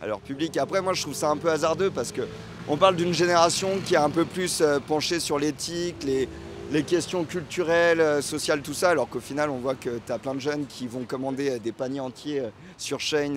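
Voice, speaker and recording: A man's voice: a little high, brisk. A man in his thirties giving his opinion casually in a street interview. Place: outdoors on a city street. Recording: faint urban street noise, traffic.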